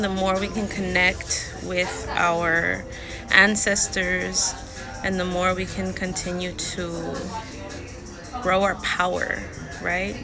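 Woman in her 30s going thru vocab real slow, phone held up close outside, faint music behind. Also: on the low side. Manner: slow, careful word-by-word repetition. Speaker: language learner sharing lessons. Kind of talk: casual vocabulary lesson. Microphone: phone held close, selfie framing.

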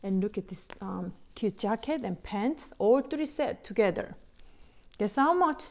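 A middle-aged woman, not a native English speaker, excitedly chatting to viewers about bargain clothes in simple English with many "you know"s. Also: medium pitch, a little slow, vocal fry. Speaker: vlogger showing a shopping haul. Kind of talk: casual chat to viewers.

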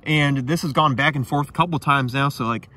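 A young man vlogging outdoors in a tidal marsh at night, talking excitedly and casually about the fish he catches. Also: medium pitch, brisk. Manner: enthusiastic, chatty, slangy. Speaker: micro-fishing vlogger. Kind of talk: casual outdoor vlog narration.

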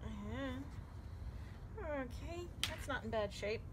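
A chatty middle-aged woman with a fairly high voice, giving upbeat commentary as she sorts through clothes. She is recorded outdoors on a handheld phone, and the recording has clear background noise. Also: animated, takes it slowly. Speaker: vlog co-host. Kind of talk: casual chat. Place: outdoors in a yard. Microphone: handheld phone camera.

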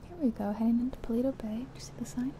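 A woman whispering softly and breathily in an ASMR roleplay as a friendly taxi driver, chatting gently and without hurry. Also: fairly high pitch, rapid-fire. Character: friendly small-town taxi driver giving a guided ride. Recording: steady car and rain noise. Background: car sounds and rain.

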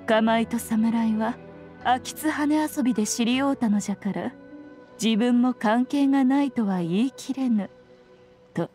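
Ancient fox spirit lady for anime game female actor: higher register, imperious yet playful, old-fashioned diction, light music underneath. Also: mellow, lightly acted. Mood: knowing, gentle. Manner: archaic, lordly old-fashioned speech, teasing and self-assured. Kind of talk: Japanese anime game voice acting.

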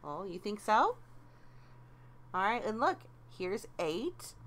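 A woman's voice: mid-range voice, very animated, takes it slowly, fairly bright tone. A woman casually helping a child read aloud, encouraging and prompting with questions. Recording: clear background noise.

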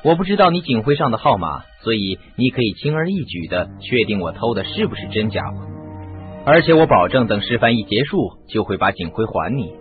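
A man's voice: fairly low pitch, big pitch swings, brisk, soft background music. An actor playing a confident, persuasive male sleuth in a radio drama. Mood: assured. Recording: muffled phone-like radio recording.

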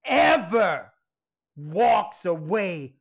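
A man's voice: high-pitched, big pitch swings, very slow, vocal fry, heavily acted. A man playing an anxious, exasperated mob boss and father in a comedic radio play. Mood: dramatic. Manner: exasperated, blustering. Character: harried, anxious mob boss father who secretly runs pizzeria.

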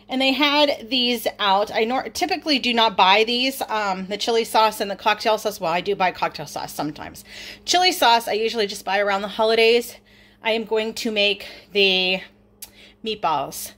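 A chatty middle-aged woman talks through her groceries in a relaxed, upbeat way, often stopping on an "um." Her voice sits at an ordinary pitch for a woman. There is a faint hiss of background noise in her home kitchen. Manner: chatty, upbeat, with many ums. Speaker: vlogger showing a grocery haul. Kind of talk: casual chat over a grocery haul.

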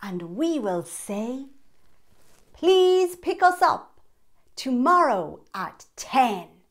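Lady doing a cheery little boy from a storybook, high voice, comforting, very expressive. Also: extremely slow, exaggerated voice. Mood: soothing. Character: cheerful, reassuring small boy from a picture book.

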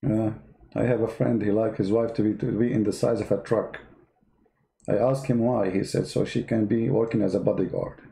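A man's voice: fairly low pitch, fairly even intonation, moderate pace, creaky, warm tone, echoey room, poor audio. A man whose first language is not English, mocking a played clip in sarcastic commentary. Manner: sarcastic, mocking, repeats words. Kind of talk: mocking commentary over clips.

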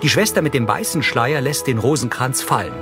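A man's voice: mid-range voice, animated, brisk. A man reading scripted audio description in a neutral, matter-of-fact way. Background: loud music.

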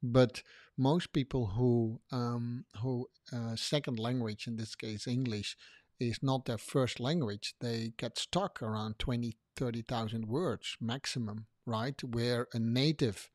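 A man's voice: medium pitch, fairly even intonation, slow pace, a little mellow, dry recording, clean studio sound. A man, a podcast co-host who talks through ideas in a thoughtful way and gives examples. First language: Dutch. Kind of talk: casual conversation.

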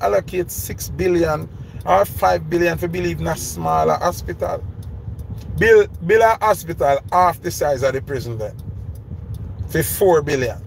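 A man's voice: a little high, vocal fry. Jamaican man ranting in his car. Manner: emphatic, repeats questions, Patois mixed with English. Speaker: vlogger. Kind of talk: ranting commentary. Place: inside a parked car. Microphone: phone camera held close. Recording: noisy car interior.

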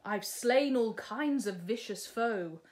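A woman's voice: fairly high pitch, lively intonation, slow pace, darkish tone. A woman voicing a boastful, bossy young prince from a storybook, grandiose and condescending. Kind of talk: storybook character reading.

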